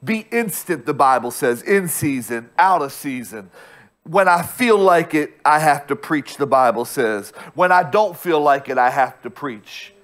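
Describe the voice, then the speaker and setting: A man's voice: fairly high pitch, expressive, a little slow, fairly bright tone. A man in his thirties preaching a sermon as pastor from a church stage, repeating his points with emphasis and frequent restarts. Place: church stage with bright screen backdrop.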